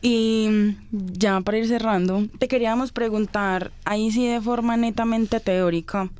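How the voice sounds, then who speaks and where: A woman from Colombia hosting a podcast interview, asking measured questions and affirming her guest. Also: on the high side, fairly even intonation, darkish tone, smooth. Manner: attentive, affirming interjections, formal phrasing.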